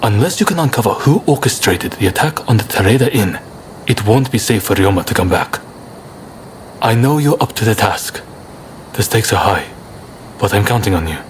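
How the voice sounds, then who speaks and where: A man's voice: fairly low pitch, animated, normal speed, natural voice. An actor playing a composed, earnest man handing out a mission in a video game, over faint ambient noise. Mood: earnest, confident. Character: composed, trusting male conspirator giving a mission. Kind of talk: video game cutscene acting.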